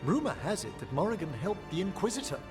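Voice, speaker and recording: A knowing lore-teller in a fantasy game. His voice is fairly high and very animated, with clear music behind it. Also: fast. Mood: intrigued. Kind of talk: video game voice acting.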